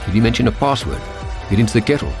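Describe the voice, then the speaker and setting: An actor playing a male traveler in a sci-fi game, speaking in a halting, hesitant way. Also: medium pitch, big pitch swings, gravelly. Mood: curious. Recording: game audio with music behind. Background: music.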